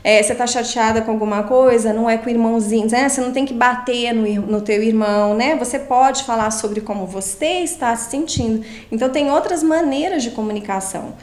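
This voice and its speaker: A woman's voice: fairly high pitch, fairly quick, hardly any pauses, a little mellow, reverberant. A woman psychologist answering interview questions, explaining with many fillers and tag questions.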